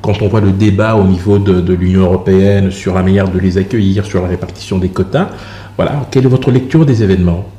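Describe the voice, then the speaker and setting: A man hosting a television interview, putting polite, wordy questions to a guest in a measured, formal style. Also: low-pitched, dark tone. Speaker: TV interview host. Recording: roomy echo, faint background noise.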